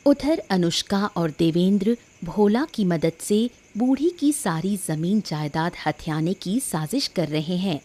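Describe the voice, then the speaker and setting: A woman's voice: lowish voice, expressive, unhurried, few pauses, dark tone. A woman narrator telling a scripted story.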